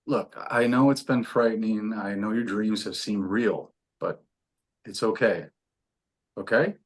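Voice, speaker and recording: A man's voice: lowish voice, measured pace. A man playing a young, wry, reassuring doctor in a horror script table read. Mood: reassuring, breezy.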